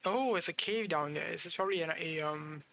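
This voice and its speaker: Creaky, higher-pitched male game streamer. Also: a little fast, fairly bright tone. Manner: rambling, thinking aloud, mild swearing. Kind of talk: casual live game commentary. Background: game music.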